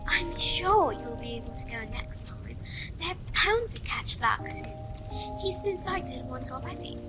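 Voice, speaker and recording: Woman playing very high-voiced, earnest schoolgirl Hermione. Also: expressive, unhurried, music in the background. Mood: earnest, fond. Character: Hermione, bossy, caring teenage schoolgirl who dotes on her cat. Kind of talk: fan audio drama acting.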